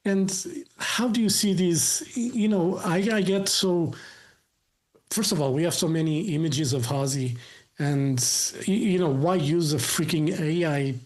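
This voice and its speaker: Slow, rambling male interviewer. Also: on the high side, a little mellow. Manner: rambling, full of "you know" fillers. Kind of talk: casual interview chat.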